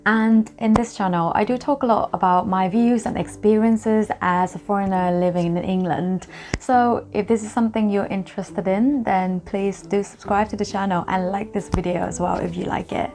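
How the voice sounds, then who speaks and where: A woman's voice: medium pitch, moderate pace, a little mellow. A young woman from Thailand, chatting casually to camera as a vlogger and explaining things with lots of examples.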